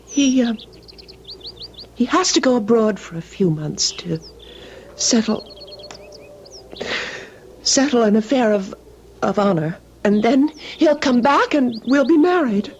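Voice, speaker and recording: An actress in an old radio drama playing an anxious, devoted young woman pining for her fiancé, speaking haltingly and emotionally. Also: a little high, expressive, darkish tone. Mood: guarded, hopeful. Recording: muffled, noisy old radio recording.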